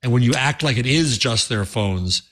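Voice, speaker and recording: A man co-hosting a casual tech talk show, earnest and halting, often restarting his sentences. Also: medium pitch, expressive, a bit bright. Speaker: podcast co-host.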